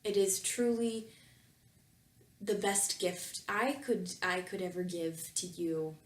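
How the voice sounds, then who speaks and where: A woman's voice: lowish voice, little pitch movement, takes it slowly. A woman reading fan fiction aloud and commenting on it casually, with a tongue-in-cheek, mock-earnest manner. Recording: roomy echo, music behind.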